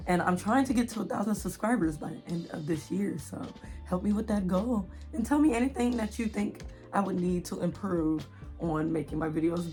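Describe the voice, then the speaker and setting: A woman in her twenties chatting casually and excitedly, with giggles, as a book vlogger. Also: on the low side. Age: 20s. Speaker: indie author and book vlogger. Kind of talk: casual chatty video blog, book promotion.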